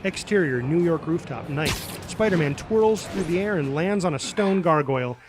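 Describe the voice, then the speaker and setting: High-voiced man reading stage directions. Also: animated, moderate pace, background noise. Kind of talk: scripted screenplay narration.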